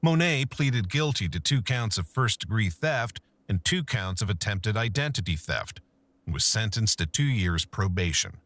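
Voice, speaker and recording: A man narrating a true-crime documentary in a measured, scripted storytelling manner. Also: on the low side, animated, a bit bright, no echo.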